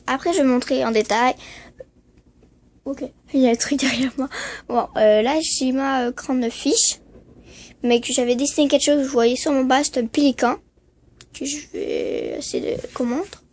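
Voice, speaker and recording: A female vlogger showing her bedroom in a casual tour, stuffy from a cold, hesitant with lots of fillers. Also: high voice. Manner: hesitant, many fillers and trailing voilà. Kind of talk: casual room-tour vlog. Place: bedroom at home.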